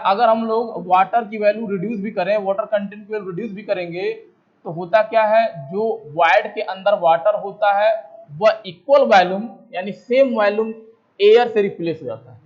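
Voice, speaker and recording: Dull lo-fi recording, high-voiced man, Indian accent, lecturer, repetitive step-by-step explanation, self-answered questions. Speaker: civil engineering lecturer. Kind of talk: explanatory lecture mixing Hindi with English technical terms. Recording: dull, lo-fi microphone sound.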